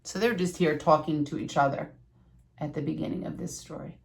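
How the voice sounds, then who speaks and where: An older woman reading a children's story aloud with asides, deliberate and teacherly like a schoolteacher. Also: low voice, steady tone, gravelly.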